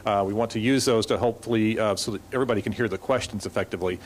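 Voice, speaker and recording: A man moderating a conference panel, rushing through with many fillers and restarts. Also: on the low side, a little hiss or hum. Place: conference hall.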